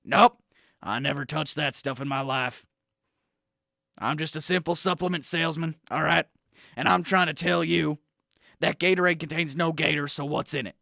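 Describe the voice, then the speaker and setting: A man acting out a brash conspiracy-obsessed correspondent on a comedy radio show, shouting his theories in a loud, pushy rant. The voice sits a little high, with a gravelly, sharp tone and a dry, close sound. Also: moderate pace, no room sound. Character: brash male conspiracy-ranting news correspondent and supplement salesman. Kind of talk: improvised radio comedy bit.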